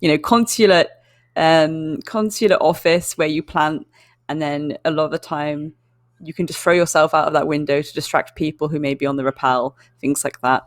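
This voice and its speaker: A woman casting an esports match, giving measured, chatty analysis with frequent fillers. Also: sits low, fairly even intonation, no room sound, studio quality. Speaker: esports caster.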